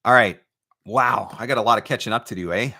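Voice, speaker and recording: A man's voice: fairly low pitch, brisk, vocal fry, pushed and bright. A man hosting a live question-and-answer advice talk, speaking emphatically like a coach and posing rhetorical questions. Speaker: host, voice-over marketing coach.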